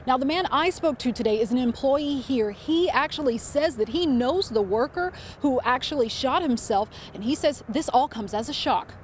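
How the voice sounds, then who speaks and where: A woman's voice: high voice, moderate pace, hardly any pauses, a little hiss or hum. Female field reporter outdoors. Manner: clear, steady reporting. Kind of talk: news report. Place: outdoors at a factory.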